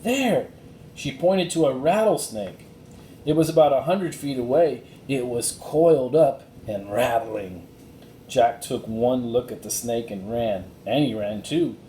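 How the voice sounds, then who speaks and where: A man reading a children's storybook aloud in a deliberate, expressive way. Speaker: reader of a children's chapter book. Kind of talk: scripted storybook narration, read aloud. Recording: roomy echo, faint noise and rumble.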